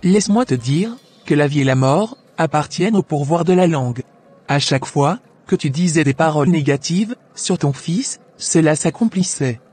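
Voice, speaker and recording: A man playing a frank, motherly aunt in an amateur cartoon, husky and measured as he admonishes over music. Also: a little high, animated, darkish tone. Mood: earnest admonishing. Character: motherly aunt, frank moral adviser, female shopkeeper. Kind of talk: amateur animated drama acting. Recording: background music.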